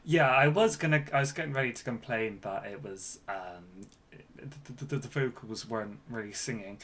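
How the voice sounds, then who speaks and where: A man co-hosting a podcast, reacting casually in a halting, mildly wry way. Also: medium pitch, a bit bright. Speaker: podcast co-host. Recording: clear background noise.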